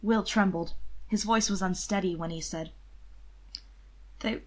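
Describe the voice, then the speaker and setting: A woman reads fiction aloud at a middle pitch. Her delivery is scripted and expressive, in the manner of an amateur audiobook narrator telling a dramatic period tale. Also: moderate pace, background noise.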